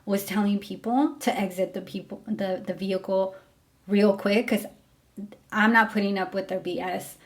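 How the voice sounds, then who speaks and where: A woman's voice: medium pitch, steady tone, normal speed. A young woman from Mexico talking candidly to camera, frank and full of fillers, about her time as a driver. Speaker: former rideshare driver. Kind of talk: casual storytelling to camera.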